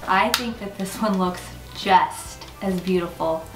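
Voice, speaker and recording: A woman's voice: fairly low pitch, unhurried, over music, low-quality recording. A woman in her forties hosting a chatty home decor vlog, talking through a table setting in her dining room with a camera across the table. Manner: chatty, bubbly, self-teasing. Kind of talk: casual how-to vlog.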